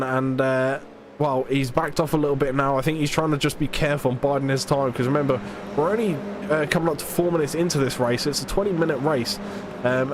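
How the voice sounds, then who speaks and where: A man's voice: on the high side, noisy background. A British man commentating alone on a live sim race, chatty and self-deprecating, his voice a bit stuffed up from hay fever. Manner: chatty, self-deprecating, quick play-by-play with fillers.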